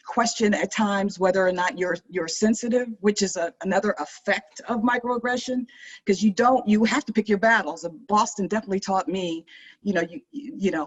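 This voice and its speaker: A woman's voice: mid-range voice, dull audio. A woman speaking earnestly in a panel discussion, reflective, often restarting her sentences. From Maryland. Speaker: panel guest.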